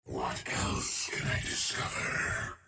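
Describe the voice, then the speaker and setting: An actor voicing a male alien eyeball creature, a cold, curious scientist who speaks in detached, ominous pronouncements. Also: natural voice. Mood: coldly clinical. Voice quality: deep, slow, gravelly and clinical. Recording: roomy game audio with crowd noise behind.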